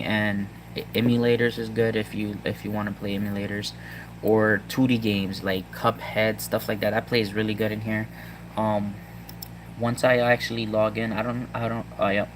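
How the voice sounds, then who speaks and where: A man with a Puerto Rican accent chatting casually to his viewers about a handheld gaming device, halting with lots of ums and restarts. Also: fairly low pitch, little pitch movement, noisy background. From Puerto Rico. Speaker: tech vlogger. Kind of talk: casual rambling tech talk to viewers.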